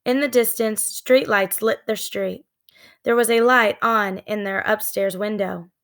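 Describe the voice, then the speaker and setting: A woman narrating a children's book in a deliberate, expressive storytelling manner. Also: medium pitch. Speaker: read-aloud storyteller.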